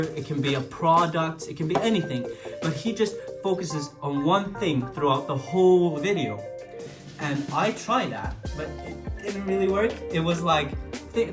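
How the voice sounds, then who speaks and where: A man from Sweden speaking English with a Swedish accent, musing in a casual vlog with frequent restarts and filler words. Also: a little high. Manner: hesitant, many restarts and filler likes. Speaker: vlogger. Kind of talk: reflective casual monologue. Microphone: built-in camera mic after external mic died. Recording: talk over clear background music.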